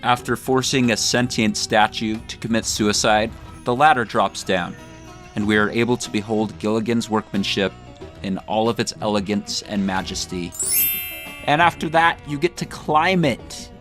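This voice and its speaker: A man's voice: on the low side, normal speed, fairly bright tone, faint music behind. A man hosting a scripted comedic video essay, speaking in a mock-grandiose, tongue-in-cheek way.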